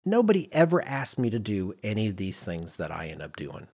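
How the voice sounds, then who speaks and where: Middle aged guy chatting on a podcast, kinda low husky voice, acts out quotes as he goes. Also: wildly swooping pitch, a little fast, darkish tone. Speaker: podcast host. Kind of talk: casual podcast discussion.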